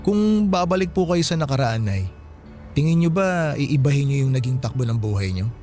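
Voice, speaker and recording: A man playing a respectful, curious younger married man who listens gently in a Filipino radio drama. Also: mid-range voice, big pitch swings, normal speed, natural voice. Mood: inquisitive. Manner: polite, deferential, softly inquisitive. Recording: music playing under the voice.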